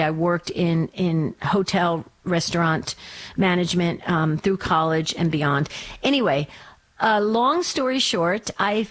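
A mature American woman from New Jersey, in her fifties or sixties, chatting casually as a radio host in an effusive, emphatic way. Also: fairly low pitch. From New Jersey, United States. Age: 50s or 60s. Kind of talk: casual chat answering a listener's letter.

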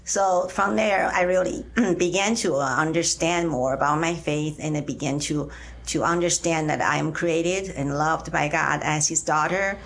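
A middle-aged woman from Taiwan with a Taiwanese accent, answering interview questions conversationally and haltingly, often pausing mid-phrase. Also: sits low, creaky. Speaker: interview guest, teacher. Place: radio studio.

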